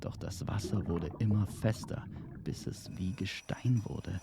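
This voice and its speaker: A man narrating a fantasy tale in a suspenseful, measured storytelling manner. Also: low voice, gravelly, heavy background noise. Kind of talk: dramatic scripted fantasy narration.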